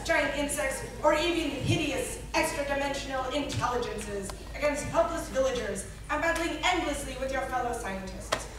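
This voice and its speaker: Woman as dramatic radio serial narrator, high voice, portentous, really echoey hall. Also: far from the mic, close to an everyday voice. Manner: deliberate, portentous. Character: grand dramatic announcer of a mad-science adventure serial.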